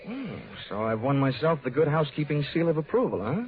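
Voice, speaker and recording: An actor playing a hard-boiled, wisecracking insurance investigator with an American accent, wry and cool, in a mid-century radio detective style. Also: male voice, a little high, big pitch swings, fairly quick. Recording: muffled old-time radio recording with music.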